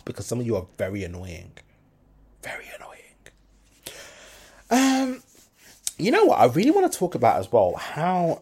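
Animated Brummie man with a lively pitch. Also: breathy edge. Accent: Birmingham English. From Birmingham, England. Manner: animated, blunt, swears freely, swings between loud and quiet. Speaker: podcast host. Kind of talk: casual opinionated chat.

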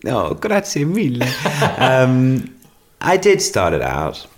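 Lively, giggly man. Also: mid-range voice, takes it slowly. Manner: giggly and playful, with frequent laughs. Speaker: podcast co-host. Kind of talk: casual podcast chat.

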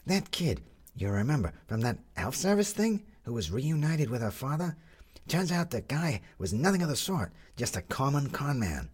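A man voicing a fretful young gentleman at breakfast who stumbles over his words. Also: mid-range voice, sing-song, moderate pace, slightly rough, voice pushed up. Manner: hesitant, restarts with fillers.

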